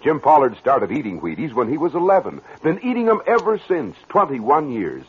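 A man's voice: fairly high pitch, wildly swooping pitch, normal speed, breathy edge. A man delivering a punchy, rhythmic radio advertisement in mid-century style. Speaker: commercial pitchman. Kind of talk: rhyming 1940s-50s radio advertisement. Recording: muffled old radio commercial.

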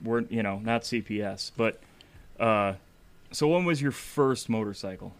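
A man's voice: lowish voice, measured pace, clear, resonant tone. A man in his forties hosting a podcast in loose, casual interview chat, swearing freely. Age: 40s. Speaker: podcast host.

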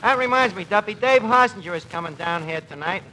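An actor playing a cocky, gullible New York tavern manager in a radio comedy, with a New York accent and mangled pompous phrasing, on an old broadcast recording. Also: male voice, high voice, expressive, a little fast, noisy background. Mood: chatty, wry. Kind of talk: 1940s radio comedy acting.

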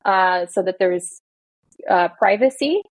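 A woman's voice: mid-range voice, lively intonation, takes it slowly, dry recording. A woman from Belgium, an attorney, explaining workplace rights in an earnest, casual podcast chat. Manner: earnest, explanatory, speaks in long building lists. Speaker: employment attorney guest.

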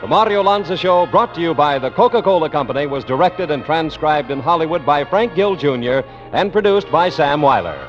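A man with an American accent delivers polished 1950s radio announcements. His pitch is middling but swings in a very animated way. The sound is a dull, band-limited vintage broadcast with music behind him. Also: a little fast, hardly any pauses. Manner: polished, formal salesmanship. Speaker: radio announcer and sponsor spokesman. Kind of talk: 1950s radio announcing, scripted sponsor reads.

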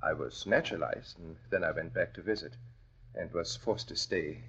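Husky, grave immigrant doctor. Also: male voice, low-pitched, moderate pace, a bit bright, exaggerated voice. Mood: earnest, formal. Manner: formal, grave. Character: earnest immigrant doctor, survivor of Nazi prison camp. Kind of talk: 1940s radio acting. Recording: dull vintage radio recording.

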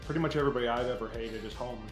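A man with an average-pitched voice banters casually as a podcast co-host. His manner is dry, teasing and sarcastic. There is faint music in the background. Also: rapid-fire.

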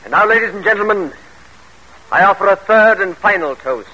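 A man's voice: high-pitched, expressive, fairly quick, pushed and bright. An actor playing a suave, ambitious colonel and doting father in grandiloquent 1940s radio drama style. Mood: suave, proclaiming. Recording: noisy vintage radio broadcast.

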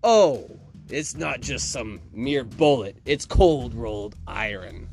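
A man's voice: mid-range voice, lively intonation, slow, vocal fry, darkish tone, soft background music. Man acting a stern, menacing town mayor. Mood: cold menace.

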